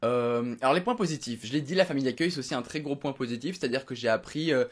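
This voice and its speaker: A man's voice: medium pitch, fast talker. A young man from Belgium giving a casual, unedited recap to his viewers, rambling with many fillers and restarts. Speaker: vlogger. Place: home room.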